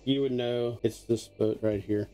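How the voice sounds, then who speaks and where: A man running a tabletop game as game master, narrating off the cuff in a halting, conversational way. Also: medium pitch, steady tone, mellow, slight background noise.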